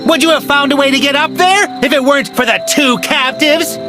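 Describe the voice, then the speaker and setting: A woman's voice: sits high, brisk, natural voice. A woman voicing a greedy, cowardly little scoundrel in a video game, with an exaggerated wheedling manner. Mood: scheming, gleeful. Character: greedy cowardly small scoundrel, whiny and scheming. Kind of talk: cartoonish video game acting. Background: game music.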